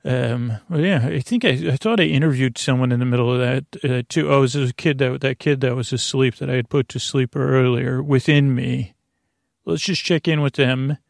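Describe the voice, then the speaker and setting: A man hosting a sleep podcast in a meandering bedtime monologue, halting often and repeating his words. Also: mid-range voice, gravelly, no echo, clean studio sound.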